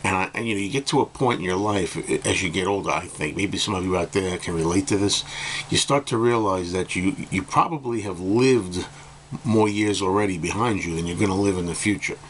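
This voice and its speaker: A middle-aged man speaks in a low voice that is a little hoarse, as if he has just got up. He rambles casually to the camera, hesitating and circling back over his points. Faint noise sits behind him in a room at home. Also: a little fast. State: slightly hoarse, just woken up. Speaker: music vlogger reviewing albums. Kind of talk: casual opinion talk to camera. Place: indoor room with bookcases at home.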